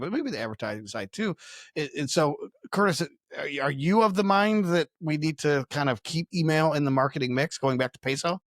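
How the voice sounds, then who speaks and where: Podcast cohost guy, voice a bit higher, dry sound, chatty and emphatic when making a point. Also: dry recording. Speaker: podcast co-host. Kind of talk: casual opinionated discussion.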